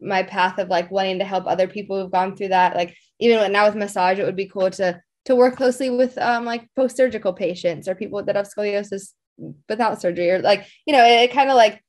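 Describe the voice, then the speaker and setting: A young woman with a mid-pitched voice talks casually as an interview guest. She rambles informally through personal stories, leaning on little filler words as she goes. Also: steady tone, fairly quick. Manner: informal, rambling, frequent "like" and "you know".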